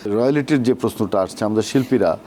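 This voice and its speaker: A man's voice: fairly low pitch, fast, warm tone, slight background noise. A man with a Bangladeshi accent hosting an interview in a conversational way, feeling his way through questions and prompting with tag questions. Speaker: talk show host, poet.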